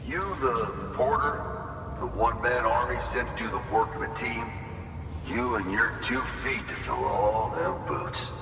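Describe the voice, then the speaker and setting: A man's voice: medium pitch, lively intonation, a little slow, distant mic, music in the background, close to an everyday voice. A man playing a brash, plain-spoken settlement local with a sardonic, colloquial delivery in a video game cutscene. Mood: wry, impressed. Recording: echoey, off-mic, clear background noise.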